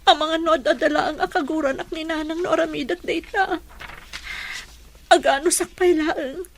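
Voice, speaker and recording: An actress playing a hurt, unloved young adult daughter in an Ilocano radio drama. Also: female voice, high voice, steady tone, moderate pace, slight background noise. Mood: sorrowful.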